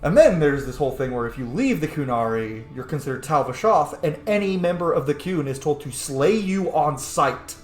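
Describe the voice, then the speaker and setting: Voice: mid-range voice, animated, moderate pace, few pauses. A gamer giving casual, opinionated playthrough commentary with some swearing. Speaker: gaming video host. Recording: echoey room, faint game music.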